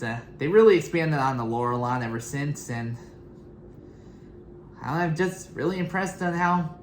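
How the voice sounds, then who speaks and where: A young adult woman giving casual, unscripted reaction commentary in a halting, rambling way. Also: sits low, a little hiss or hum. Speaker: reaction video host. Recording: roomy echo, clear music behind. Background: music from the intros being watched.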